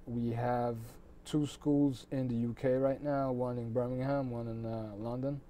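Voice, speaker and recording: Halting middle-aged man explaining, medium pitch. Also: little pitch movement, mellow, slight background noise. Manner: halting, pausing between short phrases. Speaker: talk show guest. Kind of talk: explanatory studio talk. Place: small TV studio with curtains.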